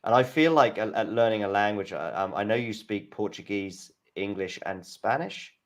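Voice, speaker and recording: A man hosting a casual tennis watchalong chat, talking in halting starts and restarts and reading out scores. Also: lowish voice, expressive. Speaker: host.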